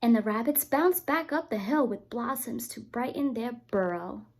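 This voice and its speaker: A woman teacher reading a picture book aloud to young children, expressive and often turning to talk to them directly. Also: on the high side, normal speed. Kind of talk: read-aloud storytime with asides to children.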